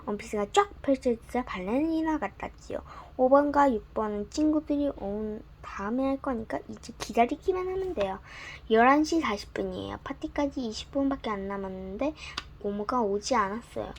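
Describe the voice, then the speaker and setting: A woman narrating a bedtime storybook in a gentle, expressive reading style. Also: fairly high pitch, normal speed, a little hiss or hum.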